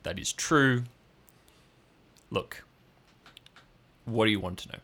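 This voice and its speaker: Stern female ranger boss, performed by a man, blunt and commanding, forceful edge, improvised audio drama. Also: lowish voice, slow pace. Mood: guarded, conceding. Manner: abrupt, firm, authoritative.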